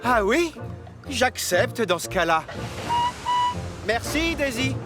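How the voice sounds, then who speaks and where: Eager, very high-voiced young locomotive. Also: male voice, sing-song, slow pace, full character voice. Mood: earnest. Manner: polite, earnest, easily flustered. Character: eager, obliging young male steam locomotive. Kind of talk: children's cartoon dubbing. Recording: loud music behind the voice.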